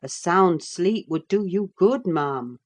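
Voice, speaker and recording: Woman doing a no-nonsense older maid in a storybook, firm and calm, slow and mellow. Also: on the low side. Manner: firm and calming. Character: sensible older woman servant, firm and steady. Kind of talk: audiobook character voice.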